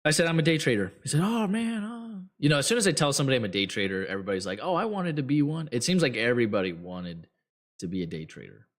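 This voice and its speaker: A man hosting a trading show, giving blunt, rambling advice to viewers with many fillers, his voice getting hoarse. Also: mid-range voice, sing-song, quick pace. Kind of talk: casual advice talk to viewers.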